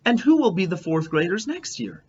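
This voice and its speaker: A man voicing a calm, reasoning mother in a children's storybook, measured and leading. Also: high voice, creaky, voice pushed up, full character voice. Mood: patient. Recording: dull lo-fi, background noise.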